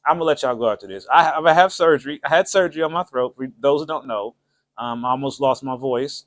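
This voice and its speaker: A man's voice: medium pitch, fast talker, dry recording. A man hosting his own channel, chatting casually and jokingly to viewers in a loose, rambling way. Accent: Ohio, American. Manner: chatty, rambling, jokey with frequent laughs; shout-outs to viewers, loose colloquial speech. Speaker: channel host. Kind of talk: casual livestream monologue. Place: home setup with cameras.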